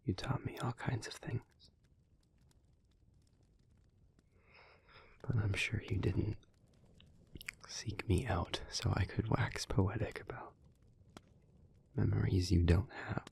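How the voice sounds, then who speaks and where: A man playing a brooding, devoted demon in an intimate audio roleplay, speaking in solemn, halting, measured phrases. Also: lowish voice, creaky, darkish tone, in a whisper. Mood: wistful.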